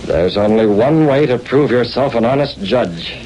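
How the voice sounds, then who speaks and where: A man plays a masked radio-serial avenger, shrewd and stern. His mid-pitched voice is formal and commanding. The old radio recording carries some noise. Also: lively intonation, moderate pace, warm tone, lightly acted, low-quality recording. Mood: probing, stern. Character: masked male vigilante hero, stern and shrewd. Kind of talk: 1930s-40s radio drama acting.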